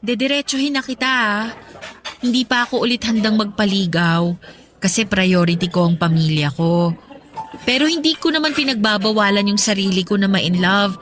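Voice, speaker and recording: An actress playing an earnest, guarded young working woman in a radio drama, speaking sincerely and apologetically with gentle hesitation. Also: mid-range voice, animated, normal speed, close to an everyday voice. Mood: hesitant, apologetic. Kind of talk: Tagalog radio drama dialogue. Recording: studio drama with music underneath. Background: background music.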